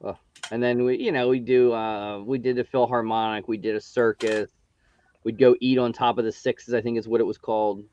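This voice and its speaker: An easygoing man in his forties hosts and tells stories in a relaxed, chatty way. His voice comes through dull and muffled, with a dry, close sound. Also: mid-range voice, brisk, dry recording. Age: 40s. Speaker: host. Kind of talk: casual chat.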